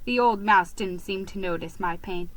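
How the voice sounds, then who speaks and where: A woman giving a theatrical, expressive reading of a storybook. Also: medium pitch, moderate pace, no room sound, rough recording. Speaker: story reader.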